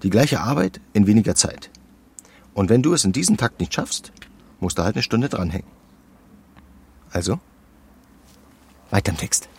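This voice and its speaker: An actor playing a pushy, blunt activist in a radio drama, husky and insistent, talking in a colloquial, prodding way. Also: male voice, lowish voice, big pitch swings, normal speed, long pauses, full character voice. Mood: insistent, instructing. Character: pushy, blunt male activist coaching a young woman. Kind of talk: naturalistic radio drama acting.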